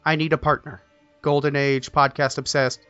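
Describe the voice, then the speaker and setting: A man's voice: a little high, flat delivery, normal speed, lo-fi sound, over music. Frantic man in a comedy promo. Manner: frantic, comically desperate. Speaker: promo performer. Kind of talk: comic skit promo.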